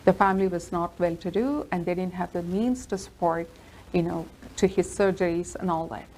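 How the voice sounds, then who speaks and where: Female lawyer guest, Telugu native, low voice, steady earnest telling. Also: normal speed, a little hiss or hum, rough recording. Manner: earnest, steady storytelling with fillers. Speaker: immigration lawyer. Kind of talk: interview answers.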